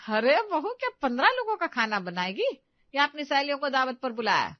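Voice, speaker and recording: A bossy middle-aged mother-in-law from the village, scolding and lecturing in a raised, fairly high voice, as a woman puts her on. The recording is dull and lo-fi, dry and close. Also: sing-song, fairly quick, no room sound. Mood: incredulous. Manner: scolding, instructive, exclaiming.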